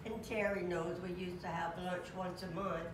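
A woman's voice: on the low side, steady tone. An older woman giving a memorial tribute to a classmate from a church pulpit, storytelling with chuckles. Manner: slow, warm storytelling with chuckles. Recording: echoey church, distant mic, room noise.

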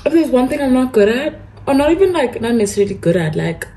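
A woman's voice: high voice, big pitch swings, fast, mellow. A young woman chatting casually to her vlog audience, rambling informally and repeating phrases for emphasis. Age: young adult. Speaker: student vlogger.

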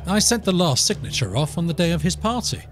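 Actor as tentative, higher-voiced villager. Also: male voice, sing-song, quick pace, soft background music. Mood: awkward. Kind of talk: audio drama acting.

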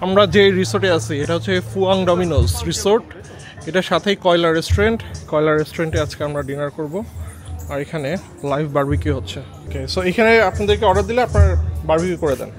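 A man with a high voice talks casually in the manner of a food vlogger. Clear music plays along behind his words. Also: fast. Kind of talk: casual vlog talk. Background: loud music.

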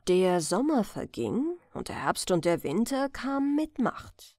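Slow, melodic woman storyteller. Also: mid-range voice, dry recording, studio quality. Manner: expressive, carefully phrased storytelling. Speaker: audiobook narrator. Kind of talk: scripted fairy-tale narration, bedtime storytelling.

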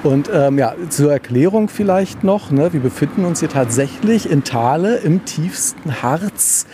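A man's voice: fairly high pitch, lively intonation, unhurried. A man chatting casually on location, a little hesitant as he searches for words. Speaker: author as guest co-reporter. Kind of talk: casual chat. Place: outdoors by a road. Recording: outdoor traffic noise behind.

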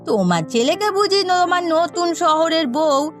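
A meddling village gossip played by a woman in a cartoon drama, pitched high and needling. She insinuates and stirs up trouble over faint music. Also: expressive, a little slow. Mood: insinuating. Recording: music behind the voice.

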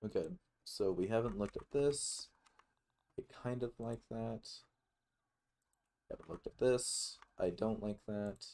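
A man's voice: lowish voice, takes it slowly, long pauses, warm tone, slight background noise. A man giving casual let's play commentary, murmuring short reactions to himself as he plays. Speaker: gaming video host.

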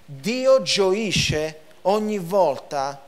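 A man in his thirties preaches from a lectern in a church hall, with a rather high voice. He stresses points by repeating words and gesturing as he reads passages aloud. The clip-on mic keeps him close and clear. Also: sing-song, extremely slow. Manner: emphatic preaching with repetition and gestures. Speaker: pastor. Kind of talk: sermon. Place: church hall, in front of a projection screen.

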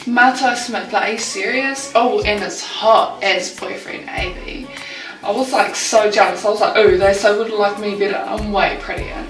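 A woman's voice: medium pitch, fairly even intonation, moderate pace, fairly bright tone, far from the mic, soft background music, natural voice. A woman acting a vain, jealous young New Zealand best friend in a comedy sketch, ditzy and self-absorbed, in an echoey room.

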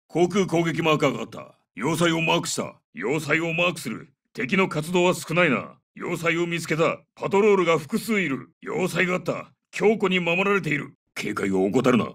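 Military shooter operative: high-set, swinging pitch, gruff authority, terse orders and callouts. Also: male voice, big pitch swings, moderate pace, a bit bright, recorded from a distance, exaggerated voice. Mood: focused, businesslike. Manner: clipped, authoritative. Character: gruff, commanding adult male special-forces soldier. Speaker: game character voice lines.

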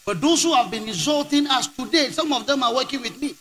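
Ghanaian man, high bright voice. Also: lively intonation, fast, slight background noise. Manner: emphatic, counts figures aloud, switches between English and Twi. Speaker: archbishop speaking at a church event. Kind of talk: church speech to a congregation.

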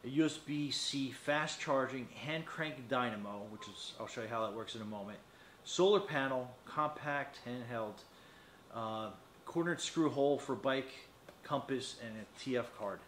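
A man's voice: medium pitch, normal speed, fairly bright tone. A man in his fifties giving a casual, unscripted product demonstration, rambling a little and thinking aloud as he goes. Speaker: product reviewer. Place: small indoor metal-walled studio, seated at a desk. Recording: echoey room with faint noise.

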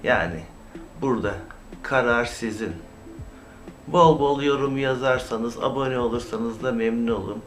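A man giving informal, opinionated YouTube commentary in a halting, indignant, emphatic manner with rhetorical questions. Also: medium pitch, soft background music. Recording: clear background noise, amateur recording.

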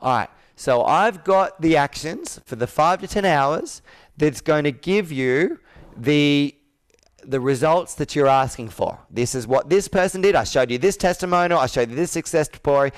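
A male sales coach with a fairly high, smooth and resonant voice. He questions directly and persuasively, as in a live sales call roleplay. The sound is close and dry. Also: animated, normal speed, no room sound. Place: indoor room with whiteboard, on a video call.

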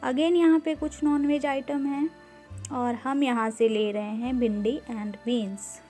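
A woman giving an unhurried, casual walkthrough of a grocery store, filming on a handheld phone and mixing English words into her talk with frequent "uh" fillers. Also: high voice, steady tone, dark tone, soft background music. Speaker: vlogger touring a grocery store.

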